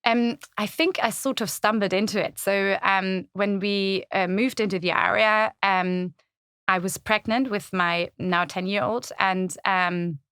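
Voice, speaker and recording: German-accented woman, low gravelly voice, relaxed interview guest, dry studio mic. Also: a little slow, no echo. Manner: thoughtful, many fillers and restarts. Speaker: podcast guest, community website founder. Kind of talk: casual interview chat. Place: podcast studio.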